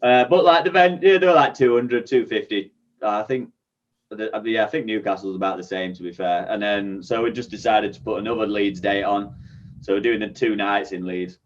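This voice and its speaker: A man from Sheffield with a Sheffield accent, chatting casually as a podcast guest, laid-back and quick to laugh, swearing freely. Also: fairly low pitch, highs cut off. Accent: Sheffield English. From Sheffield, England. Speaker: guest musician. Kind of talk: casual chat.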